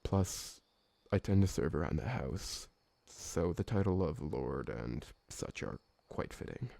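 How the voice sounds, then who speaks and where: A man playing a gentle, awkward, polite old vampire servant who talks hesitantly and deferentially in an ASMR roleplay. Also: low-pitched, lively intonation, unhurried, creaky, no echo, heavily acted. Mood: friendly, reflective. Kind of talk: ASMR roleplay audio drama.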